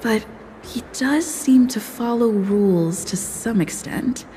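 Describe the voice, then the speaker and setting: A woman's voice: fairly high pitch, slow, mellow, a little hiss or hum. A woman voicing a cool-headed, cheeky young negotiator in a video game, composed, confident and persuasive. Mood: calculating.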